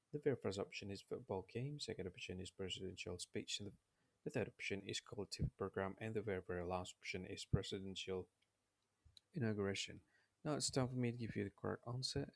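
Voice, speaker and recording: A man's voice: lowish voice, creaky. A man hosting a trivia quiz video, talking casually and repeating himself as he reads out the options. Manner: repetitive, restarts phrases. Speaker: quiz host.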